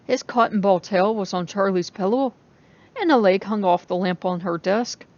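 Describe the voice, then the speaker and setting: A woman's voice: mid-range voice, normal speed, darkish tone, dull audio. A woman giving a scripted narration of a story as a dramatic reading.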